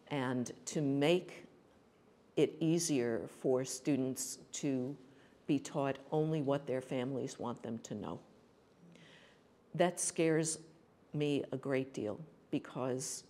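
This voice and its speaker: An older woman on a forum panel, explaining policy in an earnest, measured way with some restarts, speaking into a table mic in a hall. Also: very deep voice, darkish tone. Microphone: table mic on a stand.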